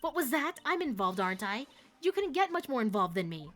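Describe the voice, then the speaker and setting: A woman voicing a gentle, coy elderly nun with a sweet, polite and slightly sly manner, her voice a little congested and hoarse. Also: fairly high pitch, sing-song, fairly quick, voice pushed up.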